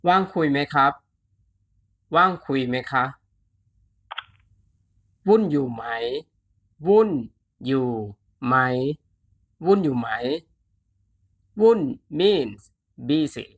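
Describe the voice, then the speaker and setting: A language teacher giving a scripted lesson, enunciating deliberately and repeating phrases syllable by syllable before translating them. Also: mid-range voice, expressive.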